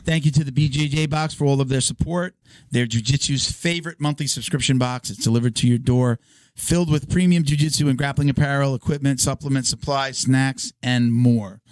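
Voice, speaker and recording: A hoarse man hosting a podcast, reading sponsor ads with stumbles and filler words. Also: mid-range voice, steady tone, a little fast, a little mellow, no room sound. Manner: stumbling, filler-heavy ad reads. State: hoarse, losing his voice. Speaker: podcast host.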